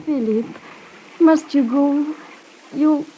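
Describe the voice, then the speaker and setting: A woman's voice: sits high, slow, lo-fi sound. An actress playing a devoted, anxious young country woman in a 1950s radio play, speaking in a pleading way. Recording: vintage radio recording with faint noise.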